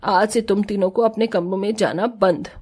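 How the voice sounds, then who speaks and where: Cartoon matriarch woman acting: medium pitch, stern, scolding, commanding mother-in-law. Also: steady tone, normal speed, darkish tone, husky, close to an everyday voice. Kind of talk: cartoon family drama acting.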